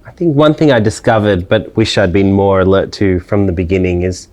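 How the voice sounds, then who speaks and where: Low, mellow-voiced man. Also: a little fast. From Australia. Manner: thoughtful, hesitant with many fillers and restarts. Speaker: interviewed scholar. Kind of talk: reflective interview answers.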